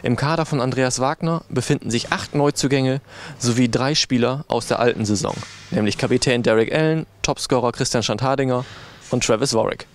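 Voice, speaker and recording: A man's voice: mid-range voice, brisk, slight background noise. A middle-aged man delivering a scripted sports news report as a reporter.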